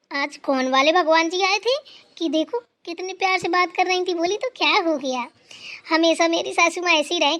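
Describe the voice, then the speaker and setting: A woman's voice: high-pitched, fairly bright tone. A woman voicing a dutiful, anxious young daughter-in-law who talks eagerly and pleads.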